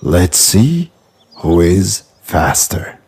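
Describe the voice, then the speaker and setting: A man voicing a calm, quietly confident turtle in a children's fable, deep and gravelly, speaking in an unhurried, deliberate way. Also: full character voice. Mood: calm, challenging.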